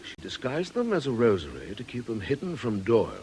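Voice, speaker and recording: An actor playing an earnest, perplexed vicar in a radio drama, hesitant and questioning in a proper way. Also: male voice, wildly swooping pitch, fairly quick, darkish tone. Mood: puzzled. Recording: noisy ambience with vehicle rumble.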